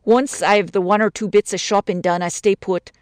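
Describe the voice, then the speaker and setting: A woman reading a story aloud, voicing a chatty, homely widow who misses the quiet of the country. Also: mid-range voice, steady tone, moderate pace, no room sound, studio quality, voice pushed up, lightly acted. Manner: chatty, homely, warm-hearted talk.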